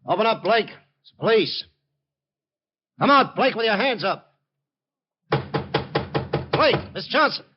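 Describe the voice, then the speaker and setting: Gruff, animated radio police sergeant. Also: male voice, high-pitched, measured pace, long pauses, background noise. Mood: shouting. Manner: brusque, demanding. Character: gruff, impatient male police sergeant, hard interrogator. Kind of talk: 1950s radio drama acting. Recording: dull old radio recording.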